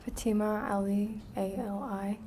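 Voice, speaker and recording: Soft-spoken young woman, flat and quiet. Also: mid-range voice, a little slow, slight background noise. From Iraq. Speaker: witness. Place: courtroom witness stand.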